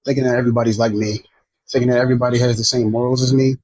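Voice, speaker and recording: A man's voice: medium pitch, level tone, racing, darkish tone, slightly rough, low-quality recording. A man from Maryland talking frankly on a podcast, rambling with lots of restarts and swearing. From Maryland, USA. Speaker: podcast guest. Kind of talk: casual podcast chat.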